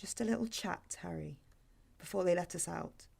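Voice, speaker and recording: Audio drama role: guarded woman placating a clingy date, slightly low voice with vocal fry, calm firmness. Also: a little hiss or hum. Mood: guarded, conciliatory. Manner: measured, firm but gentle. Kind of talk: naturalistic audio drama acting.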